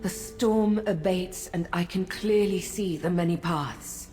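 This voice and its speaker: An actress playing a melancholy, regal female prince of paths in a fantasy game, speaking in a solemn, formal, measured manner. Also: lowish voice, lightly acted. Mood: relieved, majestic. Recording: long reverb over soft music.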